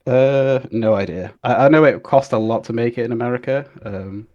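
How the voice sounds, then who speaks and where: A young man who is a guest on a podcast, answering in an easygoing, relaxed way with fillers. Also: mid-range voice, normal speed, lo-fi sound. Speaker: guest, game QA analyst. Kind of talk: casual interview chat.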